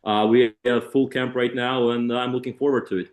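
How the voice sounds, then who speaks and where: Male interpreter, hesitant phrasing, middle pitch, clearly echoey room sound. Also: flat delivery, normal speed, darkish tone. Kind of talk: consecutive interpreting on a press call. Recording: echoey room on conference call.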